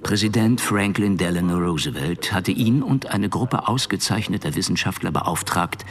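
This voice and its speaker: A man narrating a scripted audio drama, dry and deliberate, with dramatic pauses. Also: low-pitched, expressive.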